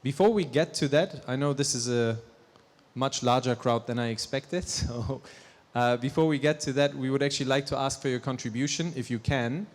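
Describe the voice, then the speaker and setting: A man in his thirties speaks on a conference stage into a handheld mic, at an ordinary middle pitch. He talks in a loose conversational way, with fillers and self-corrections. His voice rings with the long reverb of a large hall. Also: moderate pace. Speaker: company presenter. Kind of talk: conference presentation.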